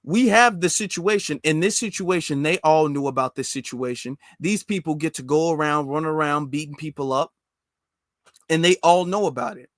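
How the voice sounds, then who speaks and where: A man's voice: a little high, normal speed, a bit bright, no echo, clean studio sound. An American man giving impassioned political commentary as a host, emphatic and repetitive, with rhetorical questions.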